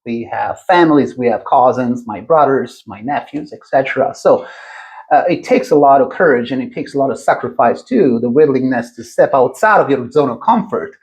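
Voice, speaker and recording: A man's voice: medium pitch, expressive, normal speed, a little mellow, rough recording. Italian guy hosting a podcast, accent, medium voice, earnest, keeps going mm-hmm and yes. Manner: earnest, affirming, lots of encouraging backchannels. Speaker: podcast host. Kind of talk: interview hosting.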